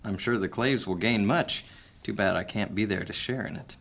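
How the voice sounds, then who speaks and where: An actor playing a cunning, guarded male master thief in an audio drama, speaking in a measured, sly way. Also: on the low side, creaky, fairly bright tone.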